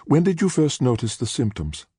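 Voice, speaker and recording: A man voicing a brisk, unfriendly woman interviewer who speaks in clipped, efficient tones with a British accent. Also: medium pitch, sing-song, mellow, slightly rough, no echo, clean studio sound, pitched above the performer's own voice. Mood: clipped, probing.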